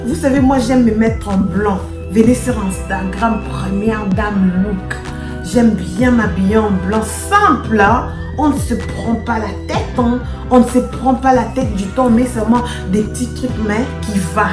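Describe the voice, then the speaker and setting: A woman's voice: a little high, unhurried. A woman with a Congolese French accent addressing her subscribers in a casual vlog, emphatic, repetitive and playfully boastful. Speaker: YouTuber. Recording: echoey room with music behind. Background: music.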